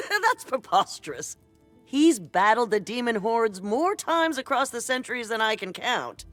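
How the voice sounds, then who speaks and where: An actress playing a serene, stubbornly hopeful constable and former soldier in a video game, speaking with measured assurance. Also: female voice, a little high, a bit bright, full character voice. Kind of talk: video game character acting.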